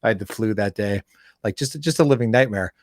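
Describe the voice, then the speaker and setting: A man hosting a casual filmmaking interview, talking in a halting way and repeating words as he forms his thoughts. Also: on the low side, slight background noise. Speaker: interview host and fellow filmmaker.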